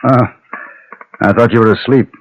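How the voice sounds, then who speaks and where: An actor playing a composed, steely gentleman gunfighter with polite, formal diction in an old-time radio Western. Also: medium pitch, fairly even intonation, moderate pace, muffled recording, lightly acted. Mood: calm concern. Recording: noisy vintage radio recording.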